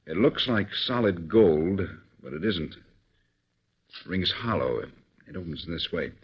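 An actor playing a calm, authoritative male government chief giving measured instructions in a 1940s radio drama. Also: deep voice, breathy edge, full character voice. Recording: dull old radio recording.

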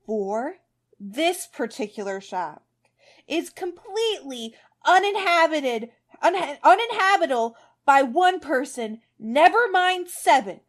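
Woman doing a fed up sarcastic guy from a story, high voice, swings around a lot, stressing words. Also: lively intonation, moderate pace, natural voice. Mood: strained calm. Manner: sarcastic, emphatic. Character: sarcastic, exasperated man barely keeping calm.

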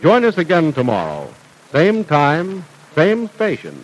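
A man announcing a 1940s radio serial and reading its sponsor's commercial in a smooth, emphatic salesman style. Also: a little high, wildly swooping pitch, measured pace, poor audio.

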